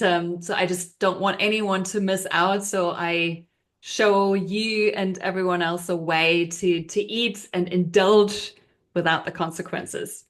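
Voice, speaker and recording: A woman in her fifties talking as an interview guest in a friendly, agreeable, conversational way. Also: on the low side. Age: 50s. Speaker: podcast guest, nutrition coach.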